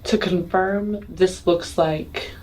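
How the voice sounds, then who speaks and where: A woman playing a tabletop roleplaying game, speaking casually in a deliberate, matter-of-fact way. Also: lowish voice, steady tone, darkish tone, rough recording. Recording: background noise.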